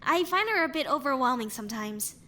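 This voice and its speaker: Woman acting a high, lively young woman investigator. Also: brisk, a little hiss or hum. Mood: thoughtful, careful. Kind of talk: voice acting in an animated murder mystery.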